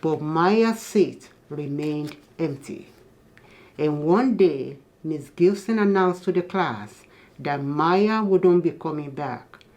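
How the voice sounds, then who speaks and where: A woman's voice: sits low, lively intonation, takes it slowly, a little mellow. A woman reading a children's picture book aloud in a teacherly manner, explaining things to young listeners. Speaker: guest storybook reader.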